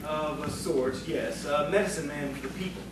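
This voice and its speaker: A man playing a calm, scholarly storyteller in audio theatre, speaking in a measured, knowing, cautioning manner. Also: a little high, close to an everyday voice. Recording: very echoey, distant, noisy room.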